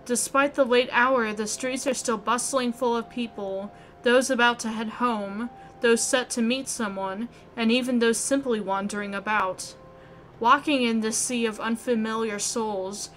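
A woman reading scripted story narration aloud in an expressive way. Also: on the high side, a little hiss or hum, rough recording. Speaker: video game player reading narration aloud. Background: music.

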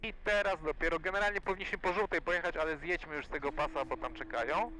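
Calm, bright-voiced male flight instructor. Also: fairly high pitch, fast, rough recording. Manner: calm, encouraging, repetitive. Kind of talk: hands-on coaching during flight. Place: small aircraft cockpit in flight. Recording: music behind, aircraft cabin audio.

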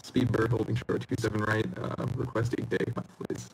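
A man with a husky voice making casual pilot radio calls in an online flight game. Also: on the low side, completely flat delivery, moderate pace, slight background noise, rough recording. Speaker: pilot player.

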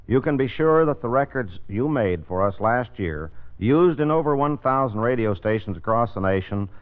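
A man's voice: mid-range voice, lively intonation, normal speed. A man giving a formal, scripted award presentation on 1950s radio. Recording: phone-like 1950s radio, long hall reverb.

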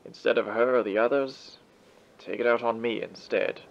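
A man's voice: mid-range voice, fairly even intonation, moderate pace, creaky. An actor playing a proud, theatrical male demon with formal, elaborate diction and an old radio filter in a comic dub. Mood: earnest, guilty. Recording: muffled band-limited sound, music behind. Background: music.

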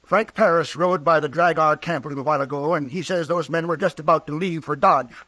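An American actor playing an anxious, self-interested hotel keeper in an old radio western, nagging and urgent. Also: male voice, on the high side, a little fast, sharp tone, no room sound. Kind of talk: mid-century American radio western acting.

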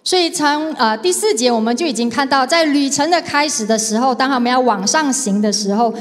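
High-voiced Malaysian woman interpreting in a hall. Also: brisk. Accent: Malaysian Mandarin. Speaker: sermon interpreter. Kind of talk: consecutive interpreting of a sermon. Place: large church hall, indoors, on stage. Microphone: handheld mic. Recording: echoey hall with long reverb.

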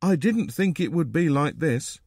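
Man voicing an earnest, wide-eyed schoolboy, raised pitch. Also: lively intonation, measured pace, vocal fry, a little mellow, slightly rough, no room sound, clean studio sound, exaggerated voice. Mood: shaken. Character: earnest schoolboy caught up in an air raid.

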